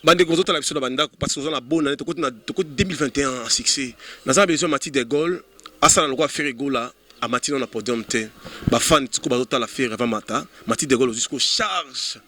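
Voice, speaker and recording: A man's voice: medium pitch, fast talker, sharp tone. A man hosting a casual interview, switching between French and Lingala and often chiming in to echo his guest. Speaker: interviewer, show host.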